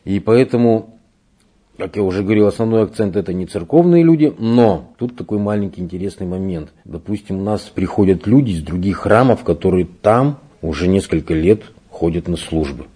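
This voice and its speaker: Man, parish catechist being interviewed; low husky voice, slow and explanatory, lots of "uh"s. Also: lively intonation, warm tone. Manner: unhurried, explanatory, many hesitations. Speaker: church catechist. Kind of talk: interview answers. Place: parish room.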